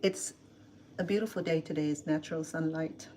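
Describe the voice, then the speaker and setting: A woman's voice: sits low, fairly even intonation, a little fast, mellow, slight background noise. A middle-aged woman from Cambodia with a Cambodian accent, chatting casually with livestream viewers while cooking in her home kitchen. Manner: chatty, answers viewer comments, laughs often.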